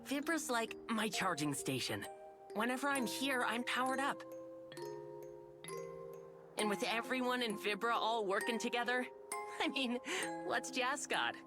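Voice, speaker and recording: A woman's voice: fairly high pitch, animated, measured pace, pauses often, music in the background. A woman voicing a cocky, upbeat boy inventor in a video game, brash and casual but earnest. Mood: earnest, fired up. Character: cocky, upbeat young male inventor kid.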